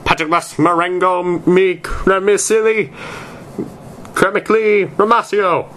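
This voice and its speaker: A man's voice: sits high, fairly even intonation, measured pace, a bit bright, pitched above the performer's own voice. A man voicing an earnest, brooding male goth wizard in an amateur story reading, serious and dramatic. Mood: dramatic, loud. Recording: noisy amateur recording.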